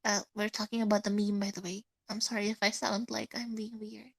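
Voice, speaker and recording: A young Indonesian woman with an Indonesian accent and a stuffy nose, chatting casually with listeners as a streamer, halting and searching for words in English. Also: mid-range voice, level tone, vocal fry, slightly rough, no echo. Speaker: VTuber streamer.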